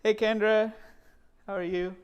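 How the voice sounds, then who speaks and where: A man's voice: high voice, level tone, a little slow, dull audio. A man performing and hosting a live improv comedy show, playful and fond of repeating a line for laughs.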